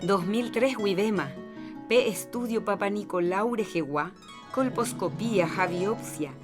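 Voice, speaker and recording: A Paraguayan woman presents a radio health programme in a medium-pitched voice. She reads from a script, with guitar music clearly playing behind her. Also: a little slow. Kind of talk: scripted health announcement in Jopara.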